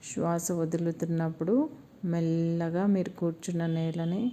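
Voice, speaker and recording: Very mellow Telugu woman guiding meditation. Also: on the low side, monotone, a little hiss or hum. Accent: Telugu Indian. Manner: slow, soothing delivery with long pauses. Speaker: meditation guide. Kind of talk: guided meditation instruction.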